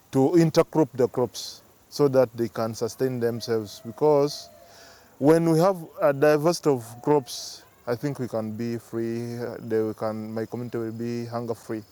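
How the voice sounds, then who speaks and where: A farming trainee from Uganda with a Ugandan accent speaks in a documentary interview. His voice is mellow and resonant. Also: medium pitch, fairly even intonation, a little slow, clear, resonant tone.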